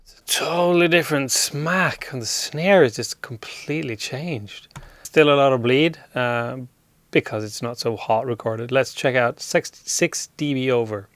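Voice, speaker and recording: A man hosting a casual hands-on audio gear demo, relaxed and appreciative as he thinks aloud. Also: medium pitch, measured pace, a little hiss or hum. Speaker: host demonstrating audio gear.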